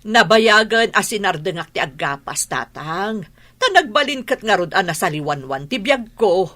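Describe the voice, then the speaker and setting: An actress playing a troubled, plaintive mother with a husky voice in a radio drama. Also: mid-range voice, expressive, normal speed, bright, forceful tone. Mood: troubled, defensive. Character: troubled mother worried about money and hard work. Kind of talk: regional radio drama acting.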